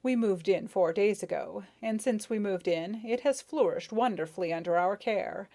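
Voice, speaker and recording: A self-satisfied storybook rose beetle, indulgent and a little pompous, quick to bristle at rudeness, as a woman narrator puts him on. He lectures in a measured, well-pleased tone. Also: medium pitch, dark tone, natural voice. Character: pompous, good-natured, touchy male rose beetle.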